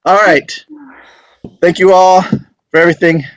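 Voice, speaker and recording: A man's voice: high-pitched, little pitch movement, unhurried, no room sound. A man hosting an online table read, chatting in an informal, appreciative way. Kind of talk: casual group video-call chat.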